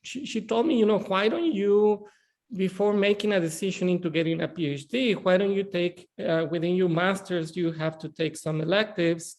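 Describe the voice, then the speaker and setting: A man's voice: sits high, moderate pace, darkish tone. A middle-aged man from northern Mexico, speaking English as a guest on a video-call interview, often restarting and repeating words. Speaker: bank CEO, guest.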